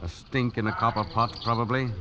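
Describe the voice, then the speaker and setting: An actor playing a steady, pragmatic colonial in an old-time radio drama, speaking in a terse, sober manner. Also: male voice, mid-range voice, brisk, crowd noise, natural voice. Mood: tense, urgent. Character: steady, grim, pragmatic British colonial friend. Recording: dull, noisy vintage radio recording.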